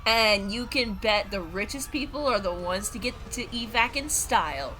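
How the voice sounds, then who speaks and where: A woman's voice: medium pitch, expressive, normal speed, sharp tone, low-quality recording. A woman playing an impatient, sarcastic city evacuee in a sci-fi audio drama. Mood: exasperated. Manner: exasperated, sarcastic. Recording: clear ambient background noise.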